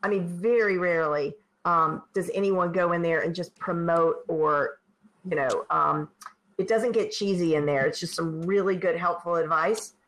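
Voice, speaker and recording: A woman's voice: fairly low pitch, normal speed, fairly bright tone, rough recording. A woman guest on a podcast who creates online courses, talking in a chatty way with frequent ums and uhs. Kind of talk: podcast interview.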